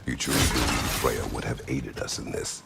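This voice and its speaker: An actor playing a stern, brooding Spartan warrior in a video game, with a growling voice and a terse, formal way of speaking. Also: male voice, very deep voice, fairly even intonation, normal speed, loud music behind the voice, heavily acted. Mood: guarded. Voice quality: very gravelly, growling. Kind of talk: cinematic video game acting.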